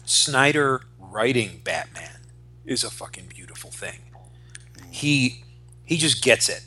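Forty-something guy, bright voice, geeky podcast cohost, excited and sweary, casual chat. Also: fairly low pitch, takes it slowly, breathy edge. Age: 40s. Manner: profane, enthusiastic. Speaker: podcast co-host. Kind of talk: casual geek-culture chat.

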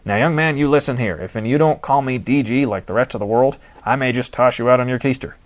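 A male narrator voicing a gruff, ornery older man with a folksy way of talking. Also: lowish voice, fairly quick, natural voice. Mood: blustering. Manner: gruff, loud, folksy banter.

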